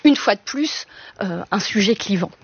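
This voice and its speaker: A woman with a French accent and a husky voice, explaining things analytically in a radio discussion, in formal phrasing while searching for her words. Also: medium pitch, lively intonation, no echo. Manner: hesitant, word-searching, measured formal phrasing. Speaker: expert guest. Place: radio studio. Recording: slightly dull, dry studio sound.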